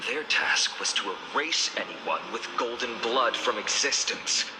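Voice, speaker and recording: An actor playing a stern, defiant young swordsman lord in a video game, speaking gravely and with menace. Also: medium pitch, animated, unhurried, natural voice. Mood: grim explanation. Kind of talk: dramatic game voice acting. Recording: game audio under loud background noise.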